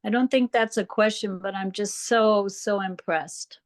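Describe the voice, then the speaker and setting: Appreciative woman with mid-pitched voice. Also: steady tone, unhurried. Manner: appreciative, enthusiastic. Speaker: supporter commenting on a donor call. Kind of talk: informal spoken comment.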